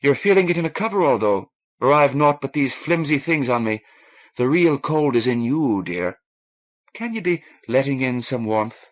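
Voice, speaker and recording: Man voicing a soothing, frank Irishwoman, pitched up. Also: animated, normal speed, lightly acted. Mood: soothing, probing. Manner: lilting, coaxing, candid. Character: warm, earthy Irish woman ship's doctor, soothing and frank.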